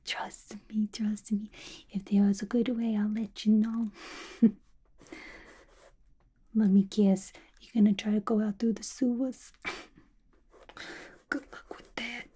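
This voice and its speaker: A woman voicing a playful, gossipy villain locked in an asylum, in a breathy, chatty, confiding ASMR roleplay. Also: medium pitch, little pitch movement, slow pace, long pauses, dark tone. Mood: teasing, conspiratorial. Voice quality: breathy, whispery. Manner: chatty, confiding, casual slangy talk.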